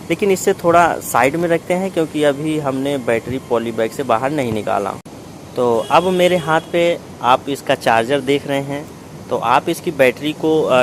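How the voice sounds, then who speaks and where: Man explaining step by step, noisy background. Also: medium pitch. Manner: explanatory, methodical. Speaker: product reviewer. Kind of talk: step-by-step unboxing narration in Hindi.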